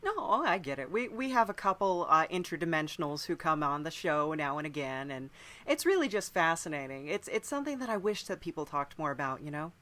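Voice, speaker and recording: Female podcast host, slightly low register, casual, attentive and musing interview style. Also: sing-song, fairly quick, pushed and bright.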